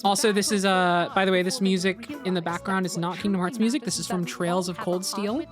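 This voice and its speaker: A woman's voice: mid-range voice, steady tone, fairly quick. Female cast member for a casual, conversational commentary track. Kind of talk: casual group commentary chat.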